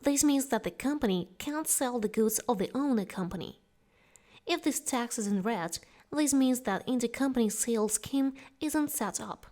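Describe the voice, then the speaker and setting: High-pitched woman narrating a tutorial. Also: lively intonation, moderate pace, mellow. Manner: instructional, step-by-step directions. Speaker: software course instructor.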